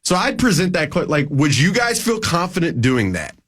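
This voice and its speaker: A man's voice: a little high, sing-song, normal speed, a bit bright. A man in his twenties talking casually as a sports reporter guest on a radio show, rambling with lots of fillers and restarts. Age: 20s.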